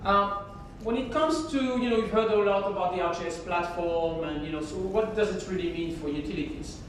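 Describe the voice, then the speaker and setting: A woman with a French accent delivers a keynote talk in an easy, conversational manner, often pausing and reaching for words. Her voice comes from a distance, with a strong wash of hall reverb and a faint low rumble underneath. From France. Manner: conversational, hesitant, many fillers. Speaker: keynote speaker. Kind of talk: conference keynote presentation. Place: large conference hall.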